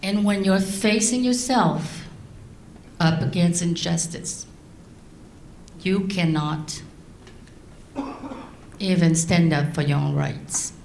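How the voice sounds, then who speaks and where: A middle-aged woman from Laos, raised in France, giving a prepared speech to an audience in slow, deliberate phrases. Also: fairly low pitch, vocal fry, darkish tone, reverberant, slight background noise. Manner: slow, deliberate delivery with long pauses. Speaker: guest speaker.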